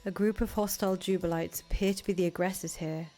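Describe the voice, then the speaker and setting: A woman's voice: lowish voice, fairly even intonation, fast, warm tone, soft background music. A woman game streamer reading visual novel narration aloud during a playthrough.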